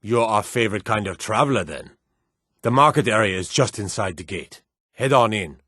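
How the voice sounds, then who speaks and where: An actor playing a gruff, watchful fantasy city guard in a video game, speaking in a stern, curt and authoritative manner. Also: male voice, fairly low pitch, normal speed, pushed and bright, no echo, studio quality. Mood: wary, curt. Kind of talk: scripted fantasy game dialogue.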